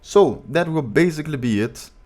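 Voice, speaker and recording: A man with a low voice, a music producer walking through his vocal chain step by step in a plain, informal tutorial. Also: lively intonation, normal speed, darkish tone. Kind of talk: tutorial walkthrough.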